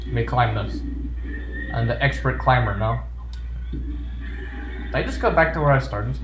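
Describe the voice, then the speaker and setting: A man's voice: mid-range voice, a little slow, echoey room, poor audio. A man giving casual video game commentary with jokey, playfully sarcastic asides. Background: video game music.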